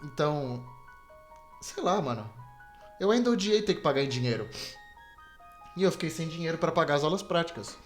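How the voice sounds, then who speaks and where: A man's voice: a little high, animated, moderate pace, long pauses. A young adult man with a Brazilian Portuguese accent rambles casually as a solo podcast host, slangy and self-deprecating. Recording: video game music behind the voice. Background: Super Nintendo game music.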